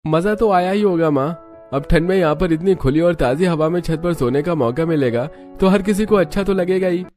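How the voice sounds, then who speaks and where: A man's voice: on the high side, brisk, darkish tone, soft background music, lightly acted. A man playing a young husband, a cheerfully oblivious son, in a cartoon story.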